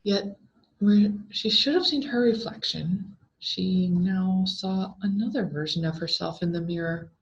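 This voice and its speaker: A woman reading a scripted horror story aloud in a deliberate, dramatic way with drawn-out words. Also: mid-range voice. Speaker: story reader. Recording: dull, lo-fi sound.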